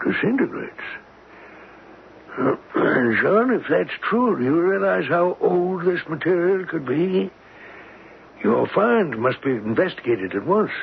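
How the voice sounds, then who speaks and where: An actor playing a scholarly older professor in a 1970s radio drama, speaking with a hesitant, deliberate manner. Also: male voice, high voice, big pitch swings, gravelly, heavily acted. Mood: intrigued. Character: scholarly older male professor, absent-minded yet eager. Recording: noisy, tinny old radio broadcast.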